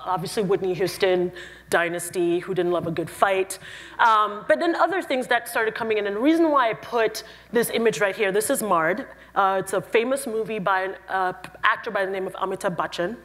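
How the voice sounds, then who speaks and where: A woman from Cameroon giving a lively, playful conference talk. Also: mid-range voice, fairly quick. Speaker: conference speaker. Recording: reverberant hall.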